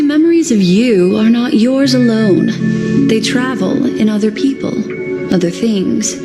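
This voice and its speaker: Actress as sly, elegant seer in long reverb. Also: female voice, mid-range voice, natural voice. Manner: poised, theatrical, insinuating. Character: sly, elegant, all-knowing mysterious woman who reads memories. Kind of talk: video game voice acting. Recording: long reverb, music behind.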